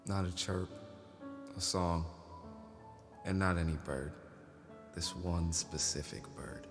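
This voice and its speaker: A man acting a deep, reticent grieving friend. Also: steady tone, takes it slowly, music in the background. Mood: gentle, wistful. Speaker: actor in a stage play reading.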